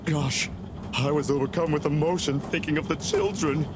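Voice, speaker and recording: An actor playing a hot-headed but soft-hearted, apologetic man in a video game. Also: fairly high pitch, moderate pace, noisy background, lightly acted. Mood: sheepish.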